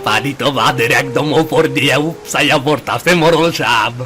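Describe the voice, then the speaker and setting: A man's voice: medium pitch, brisk, music in the background, natural voice. A man playing a grave, foreboding older villager. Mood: alarmed, despairing. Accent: rural Bangladeshi. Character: fearful, foreboding villager of fifty-five. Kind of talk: dramatised Bengali story acting.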